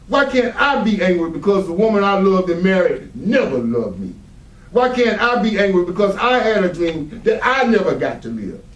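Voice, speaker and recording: A man playing an anguished, bitter father crippled by anger, in a dramatic stage reading recorded in a roomy space. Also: high-pitched. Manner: dramatic, emotional delivery. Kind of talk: stage readers theatre acting. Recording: roomy hall, distant microphone.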